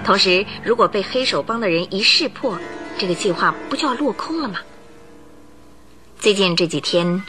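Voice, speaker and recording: A woman narrates a radio drama in a bright, forward voice. Her pitch moves a lot as she tells the story in a lively way, tossing in rhetorical asides, with faint music underneath.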